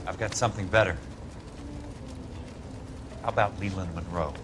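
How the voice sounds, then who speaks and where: A man's voice: sits low, moderate pace, background noise, lightly acted. Man playing a hard-boiled, sardonic detective. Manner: wry, terse, hard-boiled. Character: tough, sardonic wounded male investigator, dry and blunt. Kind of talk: 1940s detective game acting.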